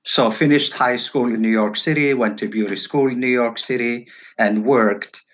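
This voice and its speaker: A middle-aged man from Turkey answering interview questions casually as a guest, in halting, broken phrases. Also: medium pitch, fairly even intonation, a bit bright. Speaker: podcast guest, hairstylist. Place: virtual call.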